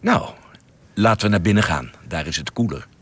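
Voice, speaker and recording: A level-headed spaceship captain in a radio play. His voice is fairly low and husky, with lively intonation. He is cautious and gives orders with affable authority. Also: moderate pace, heavily acted. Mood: affable, amazed. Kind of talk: radio drama acting.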